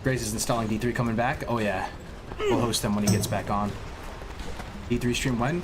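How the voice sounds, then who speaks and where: A man's voice: medium pitch, brisk, noisy background. A man live-streaming and chatting casually with his viewers, sounding congested with a cold, rambling and restarting his sentences with plenty of gamer slang. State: congested, sniffly. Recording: music playing clearly behind.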